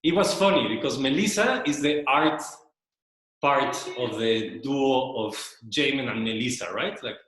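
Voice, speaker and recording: A man whose first language is Spanish, giving a casual spoken presentation in a loft, talking slowly and searching for words, often repeating himself. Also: medium pitch, a bit bright. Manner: slow, searching, repeats words while thinking. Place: loft room with a small audience. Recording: very echoey room.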